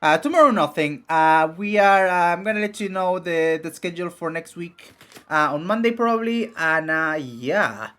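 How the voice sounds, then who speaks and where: A young man with a raspy voice streaming casually, rambling with many fillers and restarts. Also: sits high, lively intonation, normal speed, pushed and bright. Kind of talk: casual live-stream chat and reading game text.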